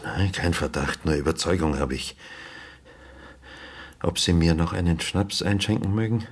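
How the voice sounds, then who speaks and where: An actor playing an older widowed father, haunted and insistent in his grief, speaking haltingly and hushed in a husky, creaky voice in a radio drama. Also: sits low. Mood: bitter, insistent. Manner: halting, hushed, often near a whisper.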